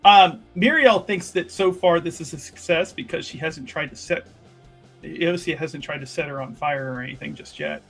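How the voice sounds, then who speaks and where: A man improvising third-person narration as a tabletop roleplaying player, casual and halting, often correcting himself. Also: on the high side, fairly bright tone, soft background music.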